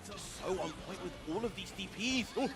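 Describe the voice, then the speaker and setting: A man's voice: sits high, expressive, moderate pace. A man giving fluent, analytical play-by-play commentary on a fighting game match. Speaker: esports commentator. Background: music.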